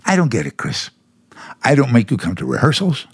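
An American man acting a brusque, blustering, dismissive producer in a 1950s radio drama, with a raspy, creaky voice. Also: medium pitch, wildly swooping pitch, a little fast, no echo, clean studio sound, exaggerated voice. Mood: brusque, casual. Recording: vintage 1950s radio recording.

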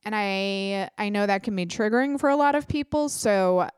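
A young adult woman hosting her own podcast, chatting casually with quick self-deprecating asides, sounding a little congested. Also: medium pitch, animated, smooth, no room sound, clean studio sound. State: congested, clogged ear. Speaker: podcast host. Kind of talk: casual solo podcast chat.

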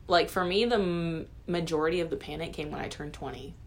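Low-voiced young woman co-host. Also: fairly quick. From United States. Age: 20s. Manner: rambling, self-deprecating, laughs a lot. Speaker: podcast co-host. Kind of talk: casual chat.

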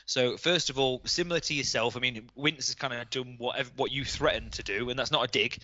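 A man's voice: mid-range voice, fairly quick, bright, forceful tone, no echo, highs cut off. A man chatting casually and in a blokey way as he hosts a podcast among friends. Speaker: host of a gaming league podcast.

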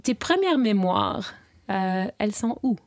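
Gently questioning woman. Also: medium pitch, big pitch swings, a little slow, dry recording, studio quality. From Eastern Ontario, Canada. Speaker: interviewer, older sister. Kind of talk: casual interview.

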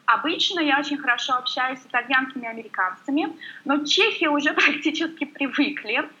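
A woman guest chatting quickly and warmly in an interview, full of filler sounds. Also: high-pitched, muffled recording. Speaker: guest blogger. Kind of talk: casual interview chat.

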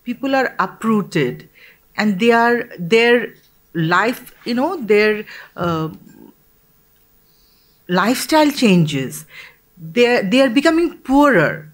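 A woman from Bangladesh speaking as a panelist in a discussion, earnest and measured, with pauses and restarts. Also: fairly high pitch, very animated.

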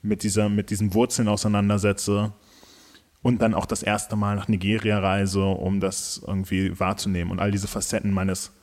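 Ein Mann Ende zwanzig, der im Interview nachdenklich über sein Musikalbum spricht, mit vielen Füllwörtern und Neuansätzen. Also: male voice, on the low side, little pitch movement, normal speed, a little mellow. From Germany. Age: late 20s. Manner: thoughtful, many fillers and restarts. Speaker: musician guest. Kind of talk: casual interview talk.

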